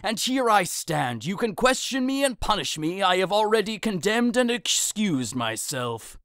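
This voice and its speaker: An anguished old holy man played by a male actor confesses in an audio tragedy. His voice sits high for a man, weighed with guilt. The sound is dry and free of room. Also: sing-song, unhurried, fairly bright tone, no echo, exaggerated voice. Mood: solemn, remorseful. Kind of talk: modernized Shakespeare audio drama acting.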